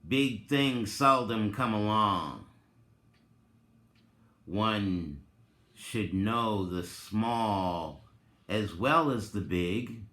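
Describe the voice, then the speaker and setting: Male reader, lowish pitch, extremely slow theatrical delivery with long pauses, echoing room. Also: animated, sharp tone. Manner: theatrical, deliberate, drawn-out phrasing with long pauses. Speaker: reader and commentator, presenter of the recording. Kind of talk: dramatic reading with informal asides. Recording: roomy, echoing sound.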